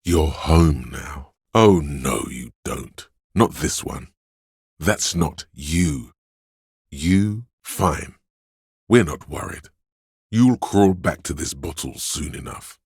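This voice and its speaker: A man playing a seductive inner voice of addiction in a video game, narrating dramatically in a gravelly, savoring, coaxing way. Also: animated, takes it slowly, a little mellow, no room sound, studio quality, heavily acted. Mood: coaxing, knowing. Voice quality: gravelly, husky bass.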